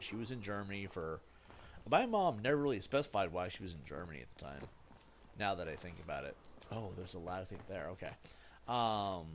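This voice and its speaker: A man in his thirties from the United States, chatting casually while streaming a game, rambling with lots of fillers and self-corrections. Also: sits low. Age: 30s. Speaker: gaming streamer. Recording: steady background noise.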